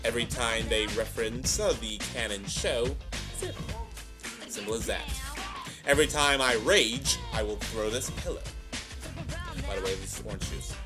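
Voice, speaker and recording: A man hosting a reaction video with casual, playful commentary and hammy, drawn-out asides, music playing behind him. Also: lowish voice, lively intonation. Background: music and audio drama playback.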